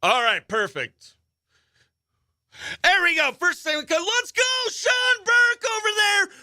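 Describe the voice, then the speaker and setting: A man presenting a country radio morning show live in an upbeat, animated, chatty and exclamatory way. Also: very high voice, slow pace, no room sound, raised voice, clean studio sound.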